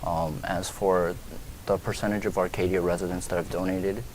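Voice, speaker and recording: Deep, flat-voiced young man. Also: normal speed, low-quality recording. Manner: steady, flat delivery with fillers. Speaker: school board candidate. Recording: faint background noise.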